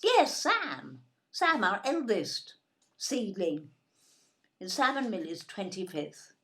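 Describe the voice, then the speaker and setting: A woman playing a brisk, reproachful older English wife and mother, emphatic and scolding, in an audio play. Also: medium pitch, big pitch swings, fairly bright tone, full character voice. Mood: exasperated.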